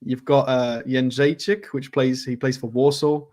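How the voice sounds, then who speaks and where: A man's voice: medium pitch, flat delivery, a little slow, a little mellow. A man co-hosting a casual live football chat show, blunt and opinionated, with frequent hesitant ums. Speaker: football pundit co-host.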